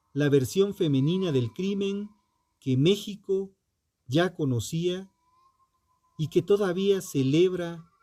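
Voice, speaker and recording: A man narrating a scripted true crime podcast in a dramatic, solemn and deliberate manner, with ominous rhetorical questions. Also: on the high side, warm tone, no room sound.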